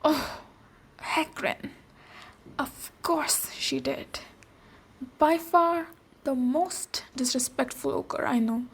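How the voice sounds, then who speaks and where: A woman playing a boisterous, protective female ogre warrior in an ASMR roleplay, speaking deliberately with pauses between phrases. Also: high-pitched, vocal fry, heavily acted. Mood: concerned. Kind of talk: ASMR roleplay, storytelling and direct address.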